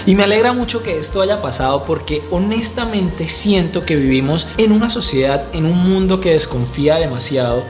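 A young man from Panama talking animatedly to the camera in a small room at home, gesturing with his hands as he reflects. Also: a little high. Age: young adult. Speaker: urban cycling vlogger. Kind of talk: casual vlog to camera. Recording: music playing behind the voice.

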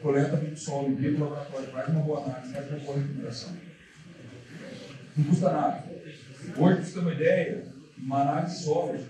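Man, seminar lecturer, fairly high voice, casual and repetitive, recorded from far off in a big echoey hall with audience. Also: steady tone, quick pace, pauses often, crowd noise. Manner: casual, repetitive, many fillers. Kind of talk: seminar talk with slides. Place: large dark lecture hall.

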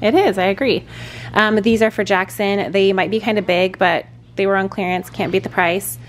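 A woman's voice: mid-range voice, steady tone, normal speed. A woman in her thirties vlogging casually from a parked car and a hotel room, rambling with lots of fillers. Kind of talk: casual vlog chat. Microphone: camera held at arm's length.

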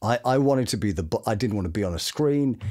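A middle-aged man telling anecdotes casually as a guest, chatty and full of quick asides. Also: lowish voice, lively intonation, warm tone. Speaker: voice actor guest. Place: small home studio room, indoors.

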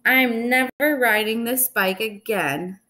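A woman's voice: on the high side, takes it slowly, bright, forceful tone. A woman voicing a discouraged young boy turtle from a storybook, plaintive and emphatic. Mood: sulky, defeated. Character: young male turtle, discouraged, whiny, easily frustrated.

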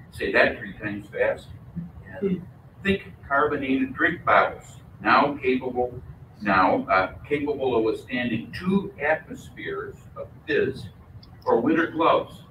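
Older man with a booming voice, echoey room. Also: sharp tone, far from the mic, slight background noise. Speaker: guest lecturer. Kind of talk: prepared lecture. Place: mosque lecture room. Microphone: podium microphone.

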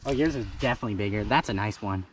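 A man's voice: lowish voice, racing. A young man out fishing, talking casually and bluntly with plenty of swearing, picked up by a companion's camera outdoors. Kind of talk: casual chat while fishing. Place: outdoors standing in a shallow river. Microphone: companion's action camera, away from him. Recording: distant outdoor voice under loud noise.

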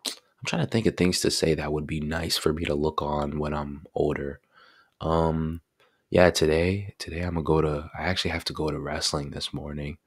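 An eighteen-year-old man chatting casually in a bedroom vlog, laid-back and slangy with lots of fillers, into a desk mic on a boom arm. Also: steady tone, moderate pace, slightly rough, dry recording, clean studio sound. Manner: laid-back slangy talk, many fillers like bro and you know. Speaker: vlogger. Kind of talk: casual birthday vlog chat. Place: small bedroom indoors.

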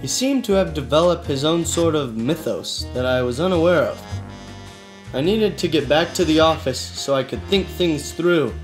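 A man's voice: fairly high pitch, normal speed, soft background music, close to an everyday voice. A man playing a weary, skeptical hardboiled detective with colloquial talk, in a noir-parody radio drama. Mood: pensive narration. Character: exasperated, hardboiled male detective questioning his cousin.

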